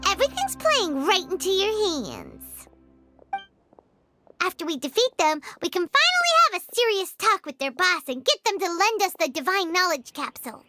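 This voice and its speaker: Tiny excitable fairy girl, extremely high squeaky voice, chirpy, bouncy intonation, anime game, loud music. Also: normal speed, heavily acted. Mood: thoughtful, pleased. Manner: chirpy, refers to self in third person. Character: tiny childlike female fairy companion, squeaky, curious, excitable.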